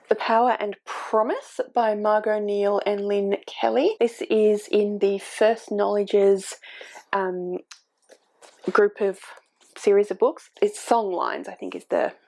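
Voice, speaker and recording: A woman in her forties talks casually at home, rambling a little and correcting herself as she goes. Her voice sits at a middle pitch with a gravelly, fry-laced texture, recorded on a desk microphone. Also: flat delivery, slow, a little mellow. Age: 40s. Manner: chatty, rambling, with frequent ums and self-corrections. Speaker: book YouTuber. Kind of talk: casual booktube chat.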